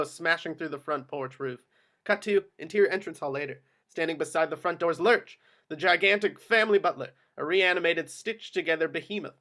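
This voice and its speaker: Male stage-directions narrator, fairly high voice, scripted screenplay read. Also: sharp tone.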